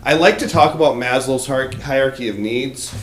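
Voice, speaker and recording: A man's voice: mid-range voice, moderate pace. A man speaking as a school superintendent, giving a plainspoken report to a township board. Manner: loud, plainspoken presenter. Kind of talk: public meeting presentation. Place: township board meeting room. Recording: echoey room with faint noise.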